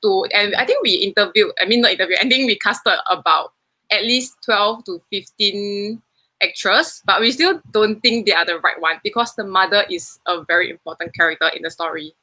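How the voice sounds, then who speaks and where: A woman's voice: medium pitch, normal speed, bright, forceful tone, poor audio. A young woman with a Singaporean accent, a student film producer answering interview questions in a rambling, self-correcting way.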